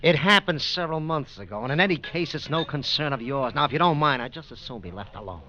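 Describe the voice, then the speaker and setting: A man's voice: on the high side, lively intonation, fast, sharp tone. A man playing a stiff, irritable businessman husband in an old radio drama, curt, touchy and formal. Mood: defensive. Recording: dull, noisy old radio recording.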